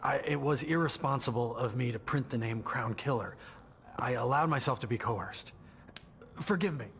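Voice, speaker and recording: Earnest mid-pitched newspaperman, played by an actor. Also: moderate pace, a bit bright, natural voice. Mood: contrite, earnest. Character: earnest, contrite male newspaperman. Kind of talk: video game voice acting. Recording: clear background noise.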